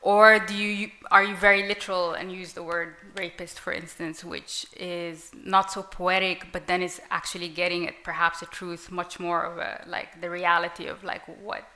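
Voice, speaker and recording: A woman whose first language is Arabic, speaking thoughtfully on a live panel with many pauses and restarts. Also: fairly low pitch, flat delivery, pushed and bright. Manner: reflective, hesitant, many fillers and restarts. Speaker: panelist author. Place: literary event with audience.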